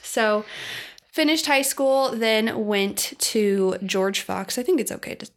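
A woman's voice: fairly high pitch, takes it slowly. A young woman co-hosting a podcast in casual chat, upbeat as she lays out what the episode will cover. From Oregon. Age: young adult. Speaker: podcast co-host.

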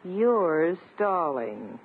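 An actress playing a prim but teasing school principal in a 1950s radio sitcom, firm and coy. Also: female voice, lowish voice, very animated, extremely slow, breathy edge, full character voice. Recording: muffled, narrow-band 1950s radio recording, hiss.

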